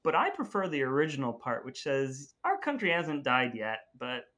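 A man's voice: mid-range voice, huge pitch swings, normal speed, pushed and bright. A man co-hosting a podcast, chatting casually in a playful, jokey way. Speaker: podcast co-host.

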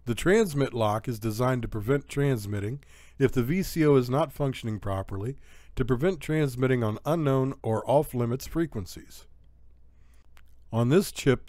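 Man, medium pitch, scripted technical narration, matter-of-fact, step-by-step explaining, dry voice-over sound. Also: a little mellow, dry recording. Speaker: electronics tutorial narrator.

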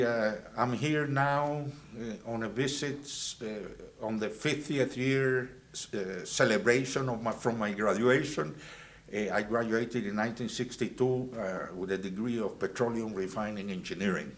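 A man, from Venezuela and raised in Guatemala, recalling his student years in a halting way with many fillers and restarts. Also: lowish voice. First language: Spanish. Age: 70s. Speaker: alumnus interviewee. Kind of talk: reminiscing interview. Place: indoors, plain backdrop.

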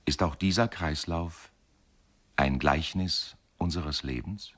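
An actor as a grave, contemplative narrator of a lyrical radio play. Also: male voice, deep voice, slow pace, a bit bright, full character voice.

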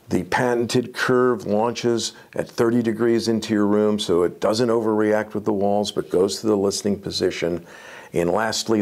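A man's voice: on the low side, a little mellow. A man in his sixties giving an informal product presentation to camera as a company presenter. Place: medium-sized indoor room with acoustic wall panels.

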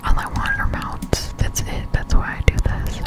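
A young woman whispering casual gameplay chat in an ASMR style, slow and halting, close to a desktop microphone at home. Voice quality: breathy unvoiced whisper. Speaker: gaming ASMR streamer. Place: indoors in a room at home. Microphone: close-up desktop condenser microphone.

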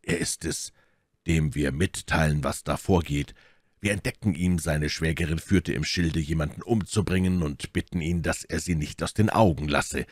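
A man voicing a calm, commanding and wry musketeer in a measured way for an audiobook. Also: very deep voice, no room sound, studio quality. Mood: deliberate, assured. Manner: measured, unhurried, authoritative. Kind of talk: audiobook character dialogue.

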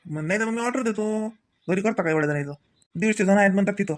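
A man's voice: sits high, fast talker, dry recording, clean studio sound, natural voice. A man voicing an easygoing young husband and father in a comedy cartoon, speaking casual, homely Marathi with a Varhadi accent from Vidarbha. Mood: reassuring. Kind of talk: colloquial domestic comedy cartoon dialogue.

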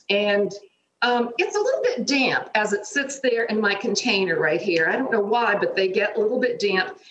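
A woman's voice: fairly high pitch, a bit bright. A middle-aged woman giving a chatty, step-by-step cooking demonstration from her home kitchen over a remote video feed. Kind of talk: cooking demonstration on a morning show. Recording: roomy kitchen echo, faint noise.

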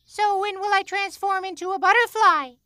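A woman's voice: very high voice, steady tone, moderate pace, bright, forceful tone, soft background music. A woman voicing a small, wistful caterpillar in a children's cartoon, innocent and plaintive. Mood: curious.

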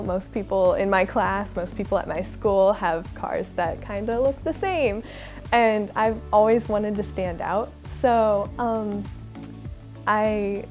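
A woman's voice: medium pitch, darkish tone. A sixteen-year-old American girl from Ohio telling her story casually and hesitantly in an interview. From Ohio, United States. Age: teenager, 16.

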